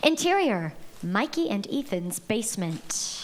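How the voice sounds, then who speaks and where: A woman reading the stage directions aloud at a live table read, steady and measured. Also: mid-range voice. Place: live venue.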